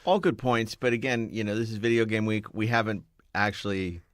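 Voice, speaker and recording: A man's voice: lowish voice, brisk, no room sound. Dry-sounding male podcast host. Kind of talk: casual podcast panel talk.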